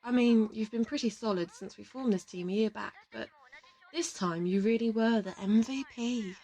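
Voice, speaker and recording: A woman's voice: fairly high pitch, moderate pace, dark tone. A woman playing a confident, teasing interrogator from an assassin team in an audio roleplay, affectionate and boastful. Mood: complimenting. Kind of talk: audio roleplay addressed to the listener.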